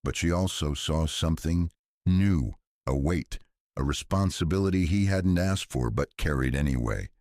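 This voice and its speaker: A man narrating a scripted thriller story in a measured, serious manner. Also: rumbling low, darkish tone, no echo, studio quality. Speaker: audiobook narrator.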